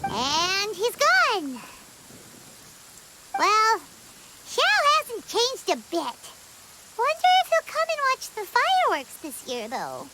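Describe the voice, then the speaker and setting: A woman voicing a tiny floating game companion, childlike, squeaky, chatty and excitable. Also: takes it slowly, pauses often, heavily acted. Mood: resigned. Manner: exclamatory, chatty, whiny. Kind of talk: game character voice acting. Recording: loud background noise behind voice.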